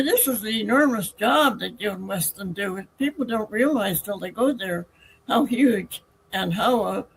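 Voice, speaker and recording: This is a woman co-hosting a casual podcast interview. She sounds warm and enthusiastic and hesitates now and then. Faint background noise sits under her voice. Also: mid-range voice, low-quality recording. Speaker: podcast co-host.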